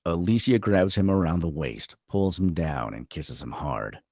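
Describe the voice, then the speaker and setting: Super deep guy reading screenplay directions, dramatic narration, lots of up and down in pitch. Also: expressive, unhurried, a little mellow, no echo, studio quality. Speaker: stage directions reader.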